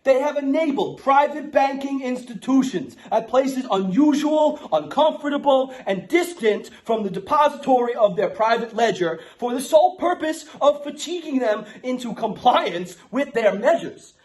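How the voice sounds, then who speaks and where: A man's voice: very high-pitched, animated, moderate pace, few pauses, a little mellow. Man playing a brash Bronx guy, New York accent. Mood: mock-solemn, declaiming. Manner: brash, streetwise, swearing. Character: Jimmy Da'Bronx, brash foul-mouthed Bronx guy. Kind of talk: casual ranting and mock-solemn declaration reading.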